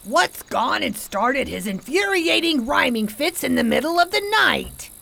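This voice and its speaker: A woman playing a protective, no-nonsense guardian in a radio play, brisk and scolding. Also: high-pitched, big pitch swings, vocal fry, sharp tone, exaggerated voice. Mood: exasperated. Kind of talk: radio theater acting.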